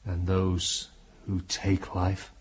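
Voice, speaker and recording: Guilty, bitter questioner for radio drama: very husky, low, slow and intense, dull sound. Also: male voice, completely flat delivery, full character voice. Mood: subdued, probing. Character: tormented guilty man questioning a priest, bitter and remorseful.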